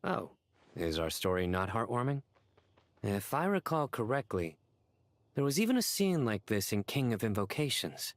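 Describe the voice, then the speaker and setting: A man voicing an earnest, theatrical joker in a video game, speaking in a measured, formal and self-satisfied way. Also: mid-range voice, sing-song. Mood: composed.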